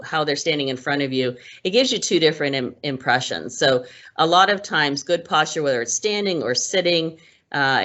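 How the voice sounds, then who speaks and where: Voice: mid-range voice, a bit bright. Muffled lo-fi workplace skills presenter. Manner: conversational, many ums and restarts. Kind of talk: casual instructional talk. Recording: muffled lo-fi recording.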